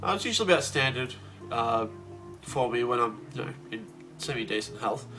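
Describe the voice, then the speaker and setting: A man's voice: medium pitch, brisk, faint music behind. Sniffly man rambling in a video diary. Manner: relaxed, rambling, many fillers. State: slightly sniffly, voice a bit off. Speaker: comic artist vlogger.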